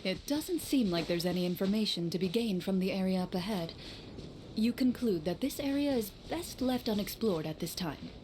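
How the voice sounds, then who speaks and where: A woman playing a composed game master, narrating a scripted fantasy adventure in a measured, solemn manner. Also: medium pitch, expressive, background noise, close to an everyday voice. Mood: ominous.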